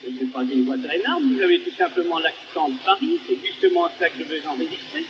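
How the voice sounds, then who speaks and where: A man's voice: on the high side, wildly swooping pitch, fairly quick. A man interviewing in a polite, inquiring conversational way on a muffled, noisy early recording.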